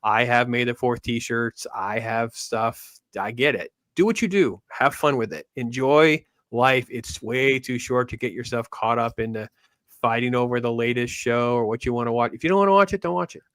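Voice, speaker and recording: A man's voice: mid-range voice, moderate pace, fairly bright tone, no room sound. A middle-aged man hosting a casual livestream chat, rambling conversationally in short, clipped sentences. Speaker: host of an online Star Wars fan show. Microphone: online call on a livestream, camera off.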